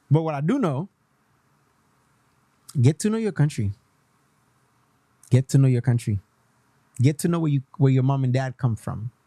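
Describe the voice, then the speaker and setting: Young guy on the radio mixing english and creole, real passionate, warm mellow voice, dry mic. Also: medium pitch, no echo. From Haiti. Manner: switches between English and Creole, passionate, repetitive. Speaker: radio host. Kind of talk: casual radio talk.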